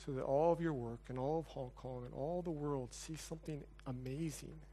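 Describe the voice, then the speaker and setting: A man's voice: medium pitch, fairly even intonation, moderate pace, warm tone, a little hiss or hum. A pastor closing a sermon and leading a prayer in church, earnest, building his lines in repeated phrases. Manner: earnest, repetitive praying cadence.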